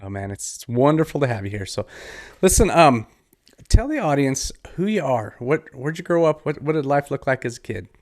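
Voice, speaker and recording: An American man hosting a podcast interview, chatting in an informal, friendly and somewhat halting way. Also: medium pitch, very animated. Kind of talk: casual interview chat.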